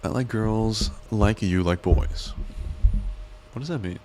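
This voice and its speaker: Male streamer, very low voice, rambling self-mocking banter, faint noise under it. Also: slow pace, a little mellow. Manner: rambling, joking, self-deprecating. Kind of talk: casual live-stream chat.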